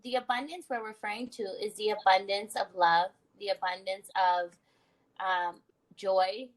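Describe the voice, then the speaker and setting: Animated woman podcast host. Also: medium pitch, a little slow, poor audio. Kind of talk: conversational interview.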